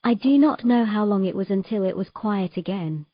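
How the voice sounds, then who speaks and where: A woman playing a sorrowful young mermaid in an audio drama, telling of her past in a gentle, measured, halting way. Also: mid-range voice, no echo, lo-fi sound, natural voice. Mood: hushed, fearful.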